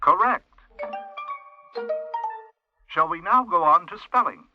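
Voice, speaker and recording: An actor voicing a chirpy computer tutor toy for children in a radio drama, singsong and over-enunciated. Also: male voice, high-pitched, animated, takes it slowly, lo-fi sound, soft background music. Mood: instructive, chirpy. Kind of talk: 1980s radio drama acting.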